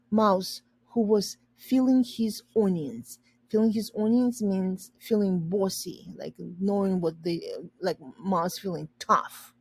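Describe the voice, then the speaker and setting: A woman teacher reading a storybook aloud, pausing to explain and rephrase words simply for learners. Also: medium pitch, a little mellow, slightly rough, dry recording.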